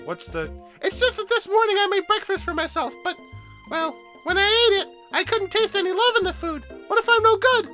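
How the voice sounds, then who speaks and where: Casting: a host doing an adorably awkward young woman, nervous and fretful, squeaky and high, lively pitch that jumps around, with faint guitar music in the background. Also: brisk, full character voice. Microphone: headset.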